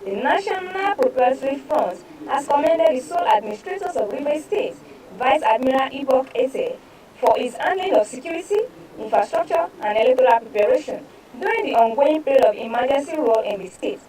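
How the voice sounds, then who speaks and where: High-voiced Nigerian woman presenter, distant mic. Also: expressive, fairly quick, mellow. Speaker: news presenter. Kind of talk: reading newspaper headlines and reports aloud for a press review.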